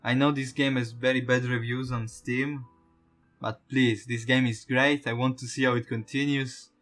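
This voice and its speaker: A man gives casual let's-play commentary with game music faintly behind him. His pitch sits in an average range for a male voice, and he speaks informally, with the odd swear word. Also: little pitch movement, moderate pace, fairly bright tone. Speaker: gaming YouTuber, let's-play host. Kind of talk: casual let's-play commentary with on-screen text read aloud.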